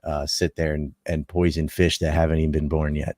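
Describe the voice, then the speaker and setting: A man with a low voice talks casually on a panel. His manner is offhand and wry, and the sound is dry, without room echo. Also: little pitch movement, brisk, mellow. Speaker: podcast panelist. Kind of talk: casual chat.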